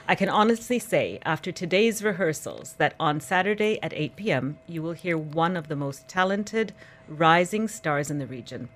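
A female concert pianist and artistic director speaking warmly and enthusiastically in a radio interview, full of polished praise. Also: deep voice, expressive, moderate pace. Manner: enthusiastic, polished, superlative-rich. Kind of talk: promotional interview talk.

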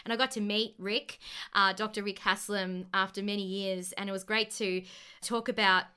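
A woman's voice: medium pitch, fairly even intonation, moderate pace, pushed and bright, smooth. An Australian woman in her late twenties, a podcast guest, talking in a bubbly, earnest way and laughing often. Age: 20s. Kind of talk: casual podcast chat.

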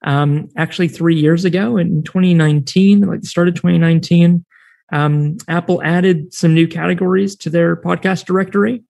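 A man's voice: fairly high pitch, steady tone, moderate pace, creaky, darkish tone. A man with an American accent, a podcast network founder, chatting casually as a guest and rambling with restarts. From New Zealand and United States. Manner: rambling, many fillers and restarts, laughs easily. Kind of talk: casual interview chat.

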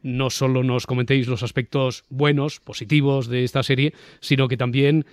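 A man's voice: mid-range voice, level tone, normal speed, no echo, studio quality. A man with a Castilian Spanish accent hosting a podcast in an articulate, scripted radio-presenter style. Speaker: podcast host. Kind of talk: scripted presenting of a discussion show. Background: faint music bed.

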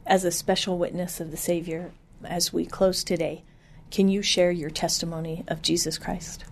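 A woman interviewer asking calm, deliberate questions. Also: on the low side, creaky.

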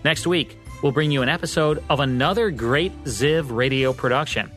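A man's voice: fairly high pitch, moderate pace, a bit bright, soft background music. A man co-hosting a radio program in scripted narration. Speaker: radio show co-host.

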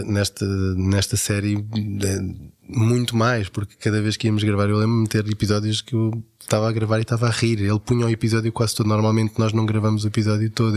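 A man's voice: fairly low pitch, darkish tone, no room sound, studio quality. A man with a European Portuguese accent talking casually and enthusiastically in an interview as a voice actor.

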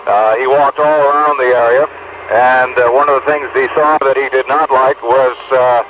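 An American man giving a halting live radio report from a city street. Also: medium pitch, steady tone, a crowd in the background. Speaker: field news reporter. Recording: dull, noisy phone news line.

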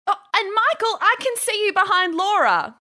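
Woman acting high, bright, expressive children's story character. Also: normal speed, exaggerated voice. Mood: playful. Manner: expressive, encouraging. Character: cheerful, expressive young girl and encouraging schoolteacher.

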